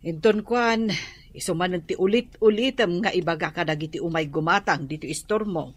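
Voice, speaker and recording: A woman's voice: on the low side, fairly quick, husky. An actress playing a sensible, matter-of-fact woman in a radio drama.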